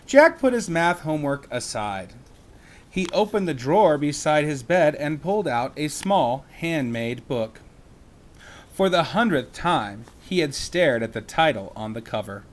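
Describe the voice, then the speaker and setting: A man who teaches reads a children's chapter book aloud in a slow, expressive way, with small slips. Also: on the high side.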